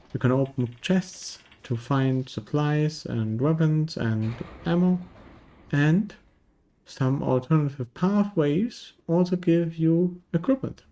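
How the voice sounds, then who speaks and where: Mellow, wry man reviewing games. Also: fairly high pitch, creaky. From Germany. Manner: dry, wry. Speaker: game reviewer. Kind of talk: casual scripted review narration.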